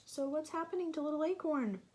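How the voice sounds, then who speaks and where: A woman reading a picture book aloud to young children, gently asking them questions and sometimes dropping to a soft whisper. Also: high-pitched, brisk.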